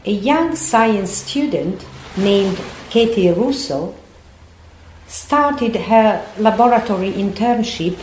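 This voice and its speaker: A woman playing the narrator of an audio drama, telling her story in a measured, scripted way. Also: medium pitch, darkish tone. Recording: roomy echo, faint background noise.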